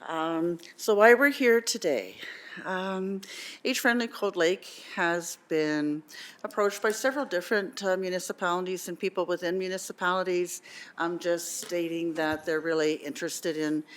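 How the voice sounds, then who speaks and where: A woman delegate presenting to a council on behalf of a seniors' support group, earnest and filling pauses with "um", partly reading from notes, on a desk microphone in a council chamber. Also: fairly low pitch. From Canada. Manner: earnest, many "um" fillers, partly reading aloud.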